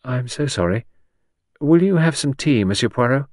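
A man's voice: mid-range voice, lively intonation, normal speed, a little mellow, no room sound, clean studio sound, pitched above the performer's own voice, lightly acted. A man voicing a bewildered, apologetic, anxious widow in an audiobook, with a vague and plaintive way of talking.

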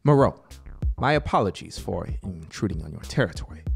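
A man's voice: sits low, sing-song, fairly quick, vocal fry, heavily acted. A man voicing a dignified middle-aged male AI rival in a story, formal, polite and condescending. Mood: smugly courteous. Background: music soundscape.

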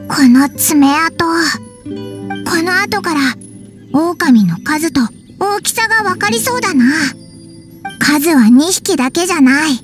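A woman's voice: extremely high pitch, expressive, slow pace, over music, full character voice. A woman voicing a tiny, childlike, cheeky fairy companion in an anime game, chirpy and blunt. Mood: pondering. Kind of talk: Japanese anime game voice acting.

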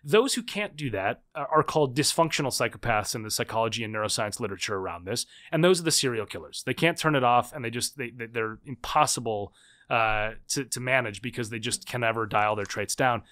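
A man in his thirties talks as a podcast guest, in a mid-pitched voice. He explains at a quick, conversational pace. He often restarts and repeats words as he thinks aloud. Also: animated, sharp tone. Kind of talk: conversational interview.

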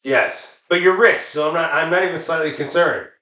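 A man's voice: on the high side, moderate pace, pushed and bright. A man bantering casually and jokingly with friends in a living room, picked up by a camera across the room. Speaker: comedy show co-host. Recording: roomy living room echo.

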